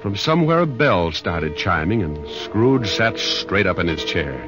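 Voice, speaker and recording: Low-voiced old-radio storyteller over music. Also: male voice, big pitch swings, measured pace. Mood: storytelling. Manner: plain, colloquial. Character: plainspoken male storyteller of a Christmas tale. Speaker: narrator. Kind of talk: 1940s radio scripted narration. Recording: muffled 1940s radio, music behind.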